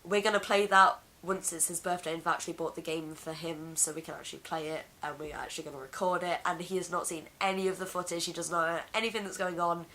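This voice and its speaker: A young Englishwoman with a deep voice, hoarse from being ill, rambling through a casual vlog chat at home on a camera mic. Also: fairly even intonation, fast, few pauses, pushed and bright, poor audio. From England. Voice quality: deep for a woman. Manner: rambling, chatty, laughs often. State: hoarse from illness. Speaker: vlogger. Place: home room.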